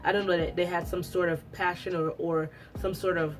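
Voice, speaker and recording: A woman's voice: on the low side, little pitch movement, moderate pace, soft background music. A young woman whose first language is Italian, chatting casually to camera at home, rambling with lots of restarts. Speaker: beauty vlogger. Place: at home after a shower.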